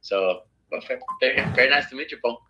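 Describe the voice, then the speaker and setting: A man's voice: medium pitch, fast, sharp tone, muffled recording, soft background music. A man in his twenties with a Mexican Spanish accent, Spanish as first language, chatting casually on a video call with many fillers and restarts. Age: 20s. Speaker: company director meeting new recruits. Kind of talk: casual Zoom introductions.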